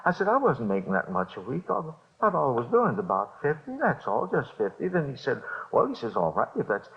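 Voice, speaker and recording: An American man playing a scared small-time bookmaker under questioning, halting and repeating himself in 1950s radio style. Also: mid-range voice, very animated, vocal fry. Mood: nervous, defensive. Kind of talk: 1950s radio drama acting. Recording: dull vintage radio recording.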